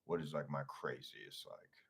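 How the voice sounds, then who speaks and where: Casting note: young male singer, very deep voice, relaxed and slangy. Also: unhurried, gravelly, husky. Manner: laid-back, slangy, laughing easily. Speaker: singer, interview guest. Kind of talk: casual interview chat.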